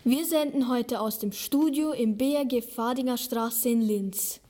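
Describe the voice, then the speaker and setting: High-voiced young teenage girl presenting radio. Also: measured pace, warm tone. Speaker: student radio host.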